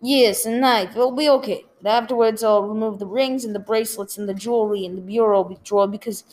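A woman's voice: medium pitch, steady tone, brisk, darkish tone, reverberant, lightly acted. A woman playing a shifty, businesslike male hired killer in a radio play. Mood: shifty, reassuring.

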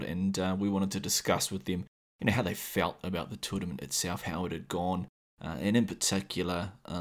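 A man with a New Zealand accent hosting a solo gaming podcast, explaining things at a measured pace with many "uh" fillers and restarts. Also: low-pitched, a bit bright. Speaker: podcast host.

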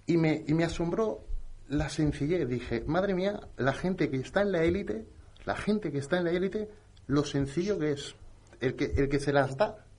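A man's voice: on the high side, normal speed. Passionate man talking basketball. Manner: passionate, animated, repeats words when excited. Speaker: basketball podcast co-host. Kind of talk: casual chat.

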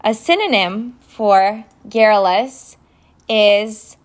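A woman whose first language is Romanian talks through vocabulary words at an unhurried pace, spelling each one out carefully. Her pitch sits in a middle range, and she sounds casual and deliberate. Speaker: podcast host. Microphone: phone app recording.

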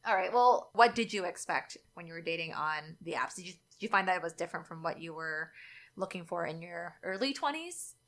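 A young woman co-hosting a casual podcast chat, candid and quick to laugh. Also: lowish voice, lively intonation, fairly bright tone. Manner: chatty, candid, laughs often. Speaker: podcast co-host.

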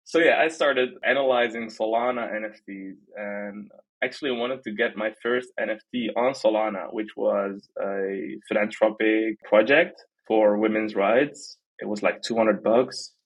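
Husky, low-voiced young man. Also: moderate pace, low-quality recording. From Netherlands. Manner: self-deprecating, jokey. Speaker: interview guest, art platform team member. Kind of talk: casual podcast conversation.

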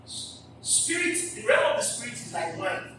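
A man's voice: very high voice, wildly swooping pitch, moderate pace, sharp tone. A man preaching a church sermon, emphatic and repeating phrases as he asks the congregation questions. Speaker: pastor. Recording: distant mic in an echoey hall with background noise.

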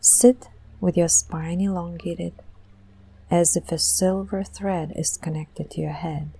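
A woman leading a guided meditation in a gentle, soothing, deliberate manner with long pauses. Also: fairly low pitch, fairly even intonation, creaky, slightly rough, low-quality recording.

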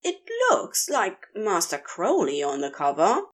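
A woman's voice: lowish voice, huge pitch swings, unhurried, heavily acted. A woman voicing a naive junior demon in an audio story, artless and relentlessly inquisitive. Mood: innocently nosy. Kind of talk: audio fiction reading.

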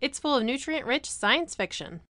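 A woman's voice: high voice, lively intonation, normal speed, sharp tone, no room sound, studio quality. A woman playing an eerie, relentlessly upbeat, unfazed spokeswoman in a scripted comic advertisement. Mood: cheerily unfazed. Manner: salesy, unfazed.

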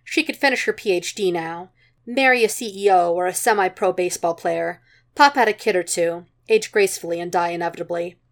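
Woman, slightly low voice, scripted story narration, amateur audiobook reading. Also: fairly quick. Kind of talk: scripted narration of fan fiction.

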